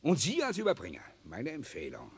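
Ein Mann spielt in einem alten Hörspiel einen sadistischen, spöttischen SS-Offizier, der höhnt und Befehle bellt. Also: male voice, medium pitch, huge pitch swings, fairly quick, a little mellow, slightly rough, full character voice. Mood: mocking. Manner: sneering, taunting, barking orders. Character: sadistic mocking male SS officer. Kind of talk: 1960s German radio play acting. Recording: reverberant old mono radio play.

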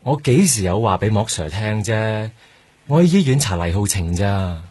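Junior detective, radio drama: Hong Kong accent, dutiful, lowish pitch, rough-edged tone. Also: male voice, animated, moderate pace, a little mellow. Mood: defensive. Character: dutiful junior male detective, deferential to his boss.

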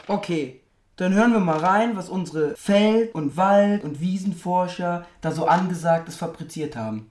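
A man's voice: high-pitched, expressive, a little slow, darkish tone, slight background noise. A man playing a dismissive, sarcastic culture critic in a radio drama, speaking in rhetorical jabs. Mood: sardonic. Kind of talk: scripted radio feature discussion.

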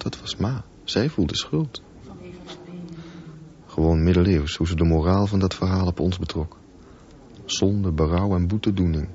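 A man's voice: fairly low pitch, darkish tone, close to an everyday voice. Man playing an intense teenage boy, muffled noisy recording. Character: intense, brooding teenage boy swimmer with deformed leg. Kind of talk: radio drama acting. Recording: dull, noisy radio play recording.

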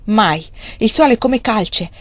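A woman's voice: mid-range voice, sing-song, moderate pace, breathy edge, no echo. A woman reading the part of an eager, stubborn young male farmer with emphatic outbursts. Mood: insistent. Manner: emphatic exclamations.

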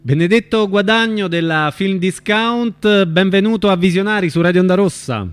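A man hosting a radio interview in an informal, somewhat halting way. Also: sits high, faint music behind.

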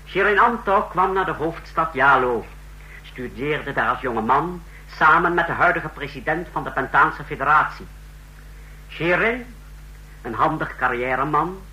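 Old radio play: self-important secret police chief, formal, piercingly bright, highish pitch. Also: male voice, full character voice, poor audio. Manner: formal, military. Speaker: radio drama actor. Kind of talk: mid-century radio play acting. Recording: old radio drama recording.

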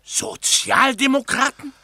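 An actor playing an indignant count in a 1960s radio play, outraged and declamatory. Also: male voice, extremely high pitch, steady tone, slow, sharp tone, dry recording, studio quality, heavily acted. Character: indignant count breaking off a political pact.

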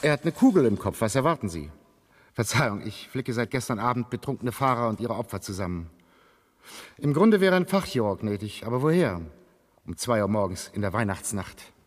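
An actor playing an overworked, weary hospital surgeon who speaks curtly and gruffly in a German radio play. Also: male voice, on the low side, very animated, fairly quick. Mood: weary, blunt. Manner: curt, gruff. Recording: long reverberant hall.

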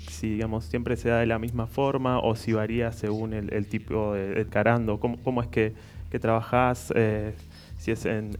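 A man with an Argentine accent asking interview questions on radio in a tentative, halting way. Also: fairly low pitch, a little mellow.

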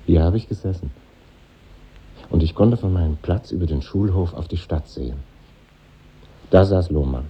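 An actor playing a reflective middle-aged conductor revisiting his old school, husky and musing, in a 1950s German radio drama. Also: sits low, dull audio, exaggerated voice. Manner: musing, pausing, nostalgic interior monologue.

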